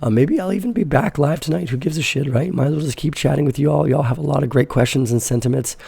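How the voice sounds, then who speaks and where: A man in his sixties, hoarse and deeply gravelly, with a husky, worn-out rasp. He chats live with viewers in a blunt, fiery, swearing manner. His tone is dark and mellow, and the sound is dry and close. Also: fast, dry recording. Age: 60s. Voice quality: raspy. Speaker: host of a live crypto trading show. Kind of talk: live-stream chat answering viewer questions.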